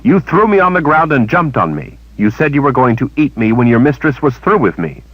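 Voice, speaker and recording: A man's voice: medium pitch, big pitch swings, normal speed, dry recording, dull audio, voice pushed up. A man voicing a young woman who speaks indignantly and defiantly. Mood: angry. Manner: indignant, rising.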